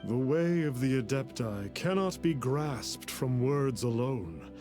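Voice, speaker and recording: An actor playing a masked, aloof immortal who speaks in an archaic, formal way and calls himself "one", in a video game cutscene. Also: male voice, mid-range voice, lively intonation, slow, music in the background. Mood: mysterious. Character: masked aloof adeptus in disguise, young man.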